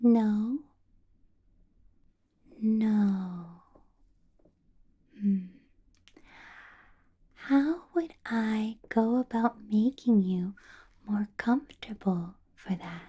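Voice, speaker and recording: A woman acts out a female vampire boss in an ASMR roleplay. Her whisper is very dark and velvety, heavily breathy and unhurried. She questions quietly and possessively, coaxing with lingering hums. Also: medium pitch, muffled recording. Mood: coaxing, reassuring. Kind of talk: ASMR roleplay, personal attention.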